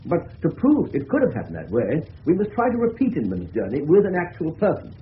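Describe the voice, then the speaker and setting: Animated, assured English colonel. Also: male voice, fairly high pitch, brisk. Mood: persuasive. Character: assured, persuasive English colonel investigating a murder. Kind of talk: radio drama acting. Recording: muffled, faintly noisy 1970s radio recording.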